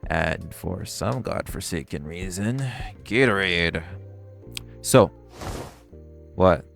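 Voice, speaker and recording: A man's voice: lively intonation, slow, fairly bright tone, faint music behind, heavily acted. A man playing a teasing, flirtatious vampire in an intimate audio roleplay, smug yet protective. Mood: teasing, caring. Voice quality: low, gravelly voice.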